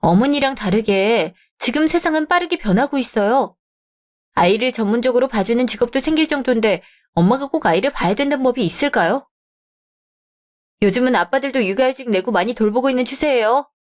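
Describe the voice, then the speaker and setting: A woman's voice: a little high, expressive, fairly quick, lightly acted. A woman voicing a composed young bride-to-be in a radio drama, polite but firm as she argues her point.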